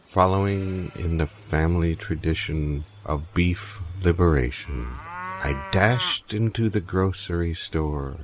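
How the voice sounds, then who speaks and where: A man improvising a comic monologue in a solemn, theatrical manner with a gravelly voice. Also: low-pitched, slow pace, crowd noise. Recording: faint background noise.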